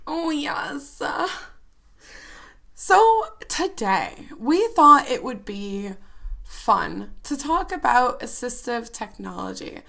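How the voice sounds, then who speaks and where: A woman in her twenties co-hosting a podcast, chatty and bubbly, with a hoarse, scratchy voice. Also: sits high, sing-song, slow, pushed and bright. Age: 20s. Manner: bubbly, chatty, laughs often. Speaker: podcast co-host. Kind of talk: casual chat.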